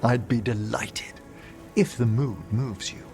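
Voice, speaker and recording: An actor playing a courtly, fastidious older gentleman scholar in a video game, speaking tenderly in formal, precise phrases. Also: medium pitch, fairly even intonation, gravelly, music in the background. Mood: warm, concerned. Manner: genteel, formal, precise wording. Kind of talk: video game cutscene acting.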